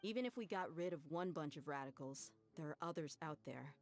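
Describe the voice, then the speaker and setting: An actress voicing a bold young female adventurer in a video game, steady and wry. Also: low-pitched, brisk, soft background music. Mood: resolute.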